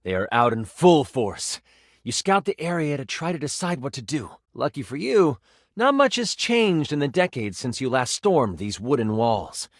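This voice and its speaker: Theatrical, animated male game master. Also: mid-range voice, normal speed, dry recording, studio quality. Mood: hushed suspense. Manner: theatrical, slips into hesitant casual asides. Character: living D20 die, excitable nerdy male game master. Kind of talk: dramatic tabletop fantasy narration with casual asides.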